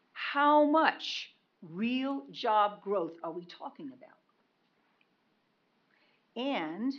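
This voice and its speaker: A woman speaking at a county meeting's public comment, with a loud voice, pressing questions and repeating words for emphasis. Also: medium pitch. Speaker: public commenter, local resident. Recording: dull lo-fi meeting recording.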